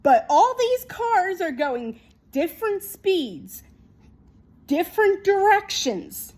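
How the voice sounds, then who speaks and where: A woman giving an unscripted opinion monologue in an emphatic, repetitive, halting way. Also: very high voice, very animated, darkish tone. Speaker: video commentator.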